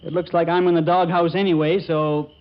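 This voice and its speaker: An actor playing a flustered, hapless young suitor, stammering and wisecracking in 1940s radio comedy style. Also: male voice, on the high side, little pitch movement, brisk, lightly acted. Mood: glum. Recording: noisy, muffled 1940s radio broadcast.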